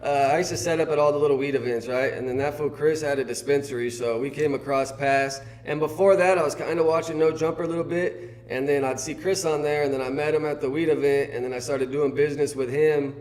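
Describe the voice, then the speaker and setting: A man with a Californian accent, a guest in a casual conversation, telling stories in a relaxed, rambling way. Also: a little high, fast, hardly any pauses.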